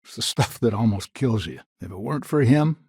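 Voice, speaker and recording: An actor voicing a proud, stubborn retired patriarch of a frontier founding family in a video game, gruff and folksy, with a gravelly, husky voice. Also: male voice, mid-range voice, animated, fairly quick, a little mellow, no echo, clean studio sound. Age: older. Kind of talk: video game character dialogue.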